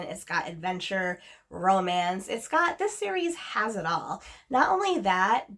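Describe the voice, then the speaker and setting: A woman's voice: medium pitch, fairly bright tone. A woman reviewing books to camera, chatty and enthusiastic, a little sniffly from a cold she is getting over. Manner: chatty and enthusiastic, repeats "really". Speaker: book reviewer. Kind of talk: casual chat to camera.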